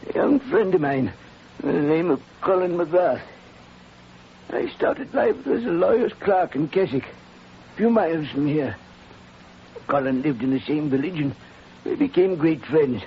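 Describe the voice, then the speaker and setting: Dying old man in a 40s radio drama, fairly high creaky voice, weighty and sorry, muffled recording. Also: animated, slight background noise. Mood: remorseful. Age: older. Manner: slow, confessional, weighty delivery. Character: old, ailing, remorseful English playwright on his deathbed. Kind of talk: 1940s radio drama acting.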